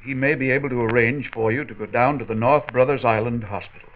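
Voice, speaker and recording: An actor playing a grave, authoritative family doctor who explains things in a measured way, in a 1940s radio drama. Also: male voice, medium pitch, fairly even intonation, close to an everyday voice. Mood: grave, explaining. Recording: tinny, noisy old radio recording.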